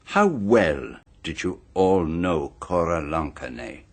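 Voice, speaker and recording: A self-assured, pompous detective in a radio mystery. His husky voice swings widely in pitch as he lays out each point with formal, deliberate grandeur. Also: animated, exaggerated voice. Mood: methodical revealing. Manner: deliberate, formal, theatrical phrasing. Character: pompous, meticulous male private detective, theatrical and self-assured. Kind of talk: radio mystery drama acting.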